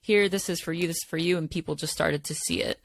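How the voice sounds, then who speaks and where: Low-voiced woman host, dry sound. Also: quick pace, creaky, dry recording. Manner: relaxed, filler-heavy, many "like"s. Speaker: podcast host. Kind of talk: casual interview chat.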